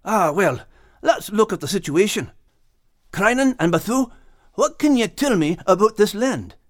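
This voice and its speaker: A man's voice: high-pitched, very animated, unhurried, no echo, studio quality, pitched above the performer's own voice. A man voicing an earnest, plucky male dog with a Scottish accent and folksy dialect in an audiobook. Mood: uncertain, probing. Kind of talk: audiobook character acting.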